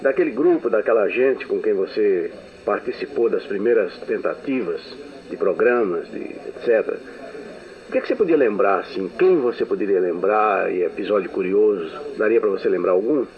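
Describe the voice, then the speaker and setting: A man's voice: on the low side, expressive, moderate pace. A man interviewing on the radio, asking courteous, searching questions and repeating words as he gets them out. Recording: tinny archive recording with faint hiss.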